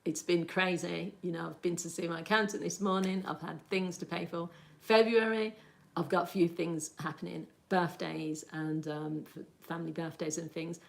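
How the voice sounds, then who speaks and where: A woman with a slightly low-pitched voice talks casually on a livestream. She rambles through her thoughts, reacts to viewer comments and laughs easily. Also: fairly even intonation, normal speed, vocal fry. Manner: chatty and rambling, laughs often. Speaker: livestream host.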